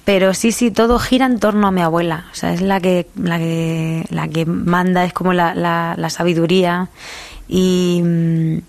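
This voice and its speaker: A young woman from Albacete with a Manchego accent and Murcian touches, chatting in an interview, full of restarts and quick laughs. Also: on the low side, flat delivery. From La Mancha, Albacete, Spain. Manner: chatty, many restarts and fillers, laughs easily. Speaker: singer, interviewee. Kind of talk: casual interview chat.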